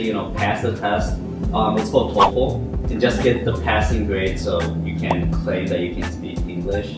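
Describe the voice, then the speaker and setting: Young Japanese man, lowish voice, thoughtful panel chat; far mic, roomy echo. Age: young adult. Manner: thoughtful, laughs often. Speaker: student panelist. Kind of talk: casual discussion. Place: lounge with large windows. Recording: echoey room, from far off, music behind.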